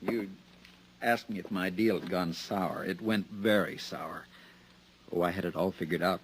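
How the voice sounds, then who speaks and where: An actor playing a nervous, hunted male embezzler in a 1950s radio drama, edgy and halting. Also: deep voice, slight background noise.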